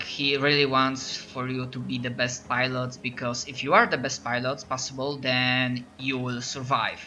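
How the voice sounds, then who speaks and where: A man's voice: medium pitch, pushed and bright, a little hiss or hum. A man from Poland with a Polish accent, narrating as game master and often stopping to restart his sentences. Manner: hesitant, lots of restarts.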